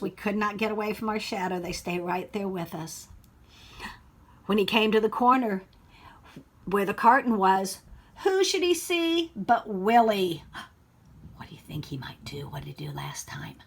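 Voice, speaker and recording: Higher-voiced woman reading aloud to children. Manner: expressive, child-directed, pausing to ask questions. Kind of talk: read-aloud storytelling with teaching asides.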